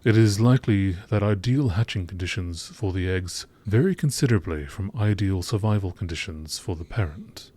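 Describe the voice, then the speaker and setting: A man reading scripted narration in a nature documentary style, in a measured, formal manner. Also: sits low, animated, mellow. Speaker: narrator reading game text.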